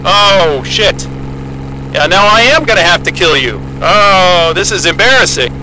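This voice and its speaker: An enthusiastic American astrophysicist in a naturalistic audio drama. His voice sits a bit high for a man, and he explains things earnestly and wordily. A loud engine hum, as inside a helicopter cabin, runs behind him. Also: expressive, unhurried. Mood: amused, sheepish. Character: eager, geeky male astrophysicist starting a solo Antarctic posting.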